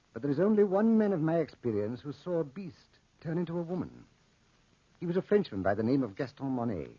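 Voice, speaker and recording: An actor playing a wry narrator of a dark desert tale in 1940s radio drama style, theatrical and deliberate. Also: male voice, on the low side, slightly rough, no echo. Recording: old lo-fi radio recording.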